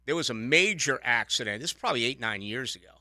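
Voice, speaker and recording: Bright-voiced Boston radio host. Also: male voice, medium pitch, expressive, fast talker, dry recording. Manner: rambling, self-correcting, jokey. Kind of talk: casual sports radio chat. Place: radio studio. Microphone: studio microphone.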